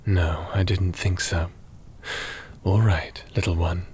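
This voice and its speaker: A man playing a gentle, cautious fantasy companion in an ASMR roleplay, speaking in a measured, soothing, slightly formal way. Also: low voice, steady tone, a little hiss or hum. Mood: resolved, gentle. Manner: measured, soothing, slightly formal, sometimes breathy near-whisper. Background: music.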